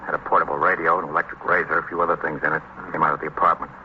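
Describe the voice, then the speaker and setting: An actor playing a terse, businesslike American police lieutenant, reporting in clipped, matter-of-fact phrases in an old-time radio drama. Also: male voice, a bass voice, little pitch movement, rapid-fire. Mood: terse, factual. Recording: muffled old radio recording, faint noise.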